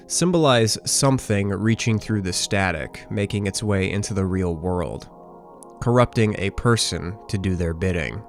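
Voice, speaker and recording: A man with a low voice narrates a scripted video essay over faint music. He explains things in a measured but conversational way, like an analyst walking through material step by step. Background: background music.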